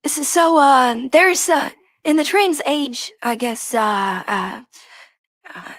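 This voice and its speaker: A woman guest in an online discussion, speaking haltingly with frequent hesitations. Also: high-pitched, a bit bright, dry recording. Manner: halting, many fillers and restarts. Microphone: video call.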